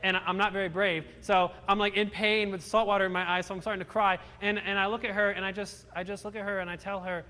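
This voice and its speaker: A man's voice: sits high, flat delivery, fast talker, few pauses, sharp tone, in a large hall. A young man born in Romania, telling his life story with self-deprecating humor to a live audience, often restarting his sentences. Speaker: motivational speaker. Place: large hall with audience.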